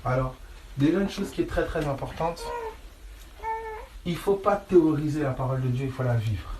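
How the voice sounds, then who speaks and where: Insistent preacher in an echoey room. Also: male voice, mid-range voice, moderate pace. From France. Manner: insistent, often checks listeners follow; colloquial, repeats phrases for emphasis. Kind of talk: informal sermon, Bible teaching. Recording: echoey room, clear background noise.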